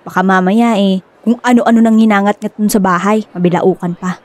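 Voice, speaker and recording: A woman playing an excitable, stubborn young woman who dotes on her pet dog in a radio drama, gushing and chatty. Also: mid-range voice, fairly quick, natural voice. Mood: impatient, fretting. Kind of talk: Filipino radio drama acting.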